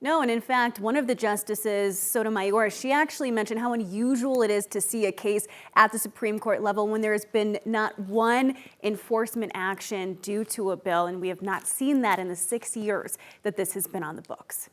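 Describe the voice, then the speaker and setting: A woman's voice: mid-range voice, normal speed, few pauses. An American woman reporter giving a live report to a news anchor.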